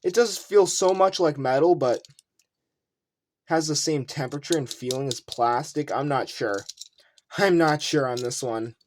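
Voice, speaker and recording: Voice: medium pitch, a little slow, darkish tone. A video host giving casual unboxing commentary, rambling and thinking aloud while showing collectibles. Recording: noisy room with clicking handling sounds.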